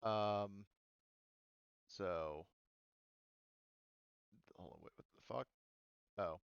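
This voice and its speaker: A man's voice: on the low side, extremely slow, creaky, clear, resonant tone, dry recording, highs cut off. A man running a tabletop roleplaying game as game master, explaining rules and action casually with some swearing.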